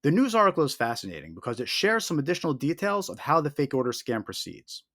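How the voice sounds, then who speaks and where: Male explainer host, slightly high voice, lively intonation, emphatic and deliberate scripted delivery. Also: no room sound, studio quality. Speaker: host of a scam awareness video.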